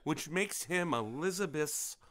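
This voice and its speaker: A man playing a bitter, grieving father bent on revenge, slowly taunting and swearing in an audio drama. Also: on the high side, animated, a bit bright. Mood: taunting, cruel. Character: vengeful grieving father, menacing, bitter, volatile.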